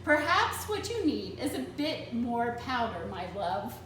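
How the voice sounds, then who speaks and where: Storybook role: dainty, loving lady acted by a narrator, polite, high, lively pitch, in an echoey room. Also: a little slow, far from the mic, a little hiss or hum. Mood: sweet, polite. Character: affectionate, dainty lady sweetheart, easily overcome.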